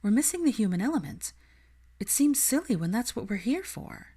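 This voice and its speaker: A woman's voice: a little high, sing-song, normal speed, dark tone, pitched above the performer's own voice. A woman playing an earnest, idealistic young witch in a story reading, thoughtful and principled. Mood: earnest, reassuring.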